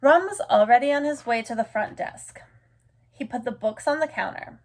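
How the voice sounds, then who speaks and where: A woman with a fairly high voice reads a picture book aloud for children. Her storytelling is expressive and deliberate, shaped for young listeners.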